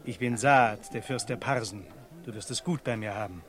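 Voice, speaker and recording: An actor playing a calm, benevolent prince with a measured, commanding and reassuring manner in a radio play. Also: male voice, lowish voice, natural voice. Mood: kindly, grave. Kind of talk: German radio play acting.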